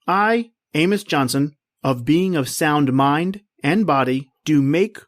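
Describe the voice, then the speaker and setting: Radio drama executor male actor: stiffly formal, deliberate, dutiful gentleman, very little room sound. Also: on the high side, expressive, dry recording, studio quality. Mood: formal, measured. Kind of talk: old-time radio drama acting.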